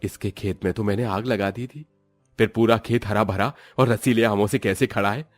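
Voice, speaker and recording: A man playing a jealous, greedy village villain in an animated moral story, muttering schemes in a husky voice. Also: on the low side, normal speed, natural voice. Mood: jealous, plotting. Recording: faint music behind.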